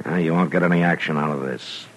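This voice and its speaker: A stern, weary frontier lawman in a 1950s radio western. His voice is extremely deep and his delivery terse and commanding. A faint noise sits on the recording. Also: steady tone, fast talker, fairly bright tone, poor audio. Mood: dry, weary.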